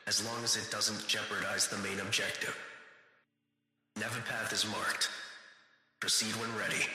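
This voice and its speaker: A cold, stern facility commander in a video game, issuing clipped, formal military orders. The voice is deep and very sharp-edged. It rings out through long, cavernous reverb like an announcement system. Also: male voice. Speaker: game announcer and mission handler. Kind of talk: scripted military-style announcements.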